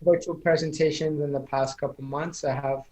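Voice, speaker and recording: A man's voice: on the high side, fairly even intonation, normal speed, a little mellow, reverberant, poor audio. A man who is a public speaking coach, speaking as an interview guest in an earnest, motivational, speech-like way; English is not his first language. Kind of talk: casual interview chat.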